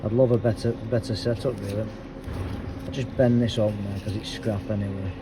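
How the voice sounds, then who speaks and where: A middle-aged man, a car mechanic, talking casually while he works through a fault, his voice hoarse and fading, with his phrases trailing off quietly. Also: lowish voice, fairly even intonation, fairly quick. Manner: chatty, thinks aloud, trails off quietly at the end of phrases. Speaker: car mechanic, auto electrician. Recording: noisy workshop with vehicle sounds.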